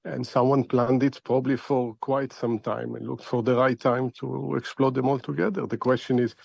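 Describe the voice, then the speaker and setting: Halting, deliberate male expert analyst, dry sound. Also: mid-range voice, level tone, gravelly, a little mellow, no echo. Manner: halting, deliberate, repeating words as he thinks. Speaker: expert analyst, interview guest. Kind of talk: spoken analysis in a news interview.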